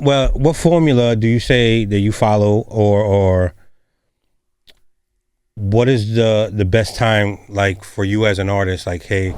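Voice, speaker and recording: Low-pitched man hosting a casual interview. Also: a little mellow, clean tone, no room sound. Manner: informal, slangy, encouraging. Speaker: podcast host interviewing a musician.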